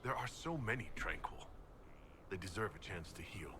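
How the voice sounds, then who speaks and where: A man's voice: low voice, lively intonation, normal speed, pushed and bright, slight background noise. A man playing a purposeful, courteous adventurer leader in a video game, with a direct, composed manner. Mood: earnest.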